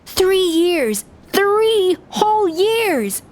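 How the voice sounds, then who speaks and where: A woman's voice: very high voice, sing-song, very slow, darkish tone, exaggerated voice. A woman playing a proud, exacting young master chef in a video game, brusque, bossy and emphatic. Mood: scolding, exasperated. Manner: haughty, emphatic, scolding. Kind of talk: animated video game acting. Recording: music playing behind.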